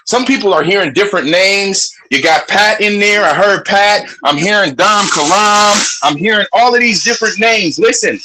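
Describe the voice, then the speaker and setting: A man with a strong, loud voice, speaking passionately on a group phone call. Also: high voice, normal speed, hardly any pauses, background noise. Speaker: webinar participant. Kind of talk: casual group call talk.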